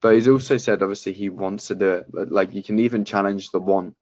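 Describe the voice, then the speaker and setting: A man breaks down a sales call with blunt, profane coaching, speaking in a flat, low voice. The sound is dry and muffled, like a lo-fi video call. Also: fast, dry recording. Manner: blunt, swears often. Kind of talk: casual coaching chat.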